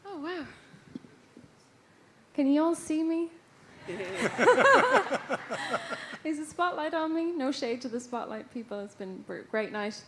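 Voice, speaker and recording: A woman's voice: sits high, slow, pauses often, very noisy. A woman from the west of Ireland with an Irish accent, giving an award acceptance speech on stage at a stage microphone, hesitant, with many fillers and restarts. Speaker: award winner, folk musician. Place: stage of a ballroom.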